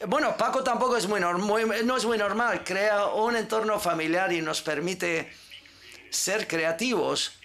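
New York woman, gravelly, storytelling. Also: fairly low pitch. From United States. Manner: lively storytelling with frequent restarts. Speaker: actress. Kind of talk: press conference answer.

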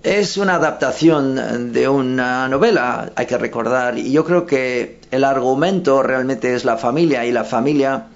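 A man's voice: mid-range voice, unhurried, creaky, hardly any pauses. A man interpreting live at a press conference, hesitant, often breaking off to correct himself. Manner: hesitant, with frequent self-corrections. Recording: dull lo-fi sound.

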